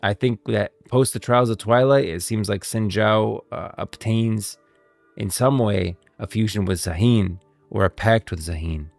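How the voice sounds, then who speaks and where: A man's voice: lowish voice, a little slow, vocal fry, a little mellow, dry recording, faint music behind. A man giving casual commentary on video game lore as a streamer, recorded close and dry.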